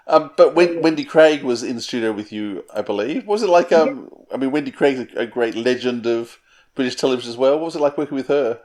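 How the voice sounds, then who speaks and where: A man's voice: mid-range voice, animated, a little fast, a little mellow. A man interviewing a guest in a casual, conversational and slightly hesitant way. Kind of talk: casual interview questions and commentary.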